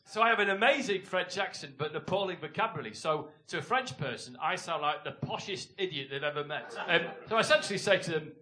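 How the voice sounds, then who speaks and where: A man tells rambling, self-mocking stand-up stories in a comedy club. His voice is very bright and sharp, a little high, with faint noise behind. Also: animated, fast talker, hardly any pauses. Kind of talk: stand-up routine.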